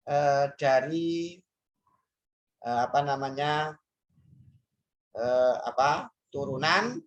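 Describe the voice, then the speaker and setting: A woman with a notably low voice explains a math lesson step by step. She asks rhetorical questions and fills her pauses with hesitant sounds. Her delivery is that of a teacher walking a class through worked examples. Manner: talks through steps aloud, asks rhetorical questions, frequent fillers.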